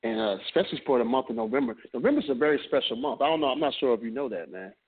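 A man's voice: medium pitch, expressive, breathy edge. A laid-back man in his late thirties co-hosts a casual talk show, telling stories with easygoing humor and a mid-range voice. The sound is thin and tinny, like a phone line. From New Jersey. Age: 30s. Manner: laid-back, joking, drawn-out storytelling. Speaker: podcast co-host. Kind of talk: casual chat.

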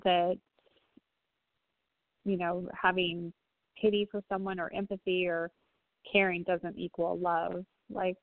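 A woman psychic medium giving a reading on a phone-in talk show, speaking gently and reassuringly with frequent pauses and ums. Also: fairly low pitch, flat delivery, no room sound. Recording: tinny phone line, dry.

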